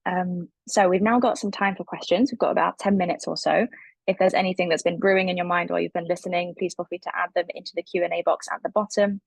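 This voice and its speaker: Husky woman moderating a webinar. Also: lowish voice, fairly even intonation, fast talker, no room sound, poor audio. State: losing her voice. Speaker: webinar host. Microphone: video call.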